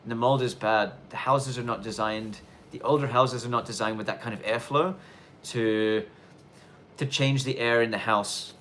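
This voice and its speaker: A man in his thirties from Australia, with a mixed Australian and London accent and a touch of Irish twang, chatting casually as he answers viewer questions on a live stream, often restarting sentences and taking care to speak clearly. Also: medium pitch, steady tone, a bit bright, a little hiss or hum. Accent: mixed Australian and London, with some Irish twang. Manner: rambling, self-correcting, deliberately clear delivery.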